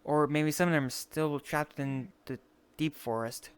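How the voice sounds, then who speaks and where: Clear, hesitant roleplay player, medium pitch. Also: fairly even intonation. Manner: hesitant, halting, rambling. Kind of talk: improvised in-character online roleplay chat.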